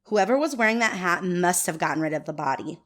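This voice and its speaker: A woman's voice: lowish voice, animated, quick pace, fairly bright tone. A woman playing a gossipy, sassy, accusing wedding guest in an audio drama.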